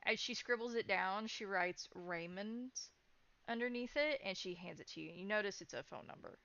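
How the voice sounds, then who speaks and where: A woman's voice: mid-range voice, normal speed, sharp tone, no room sound, highs cut off. A woman running a tabletop game, improvising narration and explaining scenes in descriptive detail. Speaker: game master.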